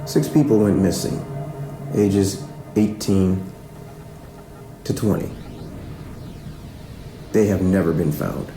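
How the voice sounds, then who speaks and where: Gravelly, suspicious small-town lawman, played by a man. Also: low-pitched, warm tone. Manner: deliberate interrogation with pointed questions and pauses. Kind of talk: fiction podcast drama acting. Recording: roomy echo with music underneath.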